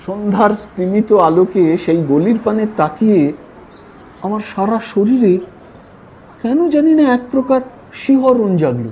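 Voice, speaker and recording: A man narrating a classic story in an expressive, theatrical way. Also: sits high, a little slow, slight background noise, low-quality recording. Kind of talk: dramatic audio-story narration of classic fiction.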